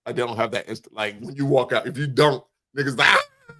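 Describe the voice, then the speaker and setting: Male podcast co-host, fast talker, mid-range pitch, loose and slangy conversational delivery, some profanity. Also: sharp tone. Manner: informal slangy speech with profanity. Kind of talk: casual chat.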